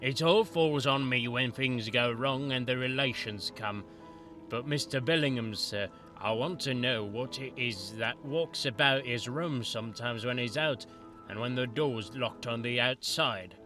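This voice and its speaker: A man's voice: medium pitch, a little slow, pushed and bright, soft background music. A man voicing an old male college manservant who is fussy and gossipy, with rustic grammar and constant deference. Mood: worried. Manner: deferential, rustic grammar, says sir a lot.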